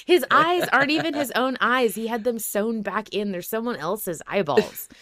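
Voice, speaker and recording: A woman's voice: on the high side, moderate pace, crowd noise. A woman hosting a podcast in casual conversation, talking informally and emphatically with blunt interjections. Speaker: podcast host.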